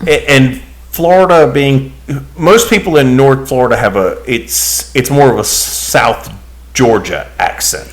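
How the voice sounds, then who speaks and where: A man's voice: medium pitch, unhurried. A man from the Southern US with a Southern accent, ranting opinionatedly as a podcast co-host in casual chat. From Southern US, Alabama and Tennessee. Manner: opinionated, ranting, with frequent restarts.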